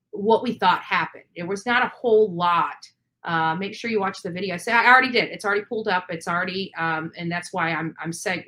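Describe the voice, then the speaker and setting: A woman's voice: fairly low pitch, a bit bright. A woman hosting an informal live stream commentary, speaking emphatically with an aggrieved edge and repeating phrases to press her point. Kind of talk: informal live commentary on a true crime case.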